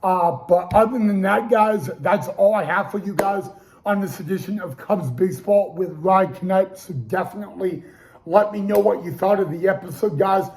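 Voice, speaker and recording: A man's voice: high voice, normal speed, a little mellow, a little hiss or hum, poor audio. A young man hosting a casual sports talk show, losing his voice and restarting often with stammered repeats. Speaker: podcast host.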